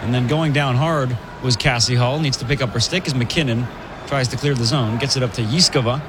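A man calling live women's college hockey play-by-play, brisk and chatty, laughing easily between plays. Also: medium pitch, background noise. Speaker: play-by-play commentator.

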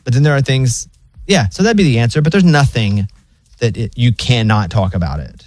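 A middle-aged man with a Southern US accent, hosting a radio show with fast, joking chat. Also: medium pitch, animated, darkish tone, no room sound. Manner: fast, joking, self-deprecating.

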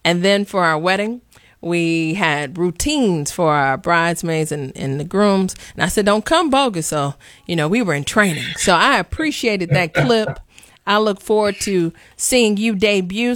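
A woman's voice: mid-range voice, lively intonation, unhurried, fairly bright tone, no echo. A woman in her late thirties hosting a talk show, chatting playfully in colloquial speech. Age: 30s. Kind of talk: casual interview chat. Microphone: video call.

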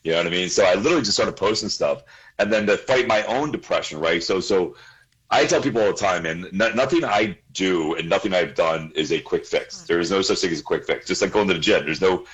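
A man's voice: low-pitched, brisk, a bit bright, slightly rough, low-quality recording. A man in his forties, a guest in a casual interview, talking earnestly, repeating himself and laughing easily.

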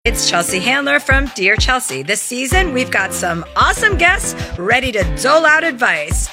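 Woman host, wry and punchy, bouncy pitch, slightly high. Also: sing-song, normal speed, music in the background. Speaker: podcast host reading a promo.

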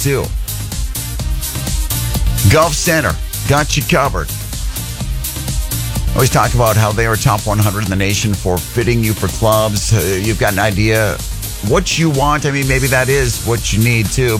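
A man hosting a morning radio show, chatty and upbeat, doing energetic live reads. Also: lowish voice. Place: radio studio.

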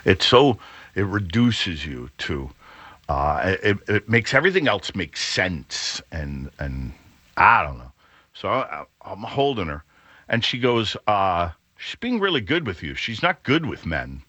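Booming, animated middle-aged male host. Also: unhurried, husky, no room sound. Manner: rambling, self-interrupting, laughs at own jokes. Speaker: radio show host. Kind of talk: casual storytelling chat.

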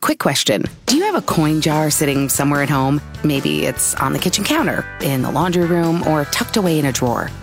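A woman voicing radio commercials in a smooth, friendly read. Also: deep voice, very animated, fairly quick, hardly any pauses, soft background music. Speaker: ad announcer.

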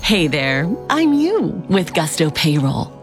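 A woman acting in a business software ad, bright and playful. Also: deep voice, very animated, slow pace, over music. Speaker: ad actor.

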